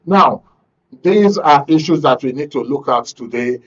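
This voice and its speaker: A man with a Nigerian accent presenting a current affairs talk show in a deliberate, measured way. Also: a little high, expressive. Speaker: radio and TV talk show host. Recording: dull lo-fi broadcast sound.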